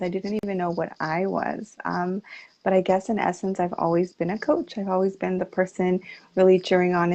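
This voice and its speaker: A woman from the United States with a gravelly, mellow voice tells her story in an earnest, reflective way. She is heard over a video call. The audio is dull and lo-fi. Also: fairly low pitch. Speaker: guest, health coach. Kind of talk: interview storytelling.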